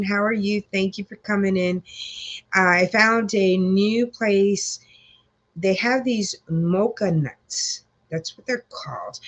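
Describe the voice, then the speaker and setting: A woman hosting a casual live chat, congested, rambling with many fillers as she greets viewers. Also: lowish voice. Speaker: livestream host.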